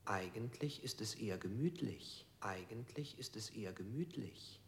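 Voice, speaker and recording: A man's voice: fairly low pitch, lively intonation, normal speed. An actor playing a bewildered man in a stylized radio play, answering hesitantly and echoing the questions put to him. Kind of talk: stylized radio drama acting.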